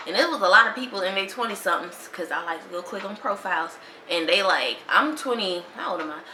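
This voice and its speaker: A woman's voice: medium pitch, lively intonation, quick pace, sharp tone. A woman, talking casually and with animation as a podcast host in a slangy monologue. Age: 20s. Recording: echoey room with faint noise.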